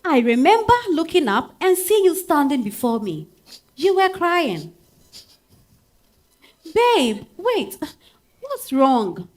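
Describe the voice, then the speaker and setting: A woman's voice: high-pitched, very animated, slow pace, long pauses, heavily acted. A woman with a Ghanaian accent playing a bitter, wounded wife in her thirties in a theatrical stage reading. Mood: tender, narrating. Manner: theatrical, swinging from hushed to outcry. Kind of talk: dramatic stage monologue reading. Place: live stage at a literary festival.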